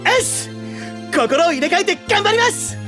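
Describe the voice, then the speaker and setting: A woman's voice: very high voice, animated, normal speed, over music. A woman playing a sincere, spirited boy in an anime-style audio drama, with an earnest boyish manner. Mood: grateful, determined. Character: sincere, spirited baseball-loving boy, a protective older brother.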